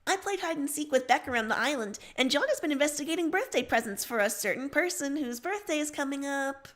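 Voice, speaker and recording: A woman's voice: sits high, expressive, fairly quick, fairly bright tone. A woman voicing a sardonic, concerned young teenage girl in a fan fiction reading, insistent and teasing. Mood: dry, teasing.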